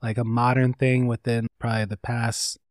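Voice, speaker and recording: A man from North Carolina, a guest artist, talking in a relaxed, rambling way with lots of fillers. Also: mid-range voice, normal speed, no room sound, clean studio sound. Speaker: interview guest, artist and tattooist. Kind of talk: casual conversation.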